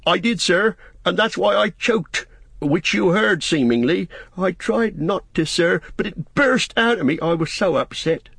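A man's voice: high voice, lively intonation, a little slow, gravelly, a bit bright, slightly rough, no room sound, voice pushed up, full character voice. A man voicing a simple-hearted young male hobbit gardener from a storybook, deferential, rustic and pleading. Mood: earnest, eager.

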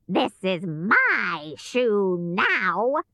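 A woman voicing a wicked, greedy storybook witch with a drawn-out, commanding and menacing delivery. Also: medium pitch, sing-song, bright, forceful tone, no echo, clean studio sound, full character voice.

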